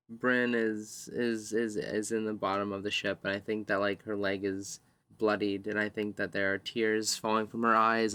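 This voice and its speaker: A man playing a tabletop game, describing his character in measured, poetic, improvised phrases that he sometimes repeats. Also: fairly low pitch, bright, forceful tone, dry recording. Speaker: tabletop roleplay player.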